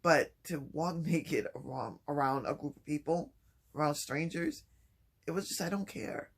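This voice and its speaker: A man talking softly and haltingly in a personal vlog, with many pauses and restarts. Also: vocal fry, husky. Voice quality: low voice. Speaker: vlogger. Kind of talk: personal vlog, reflective talk.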